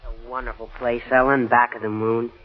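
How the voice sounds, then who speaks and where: A man's voice: medium pitch, flat delivery, brisk, lightly acted. An actor playing an eager, shy teenage boy in a 1940s radio drama, gushing and slightly stammering. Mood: boyish, chatty. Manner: boyish, gushing, hesitant stammer. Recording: muffled, tinny 1940s radio broadcast.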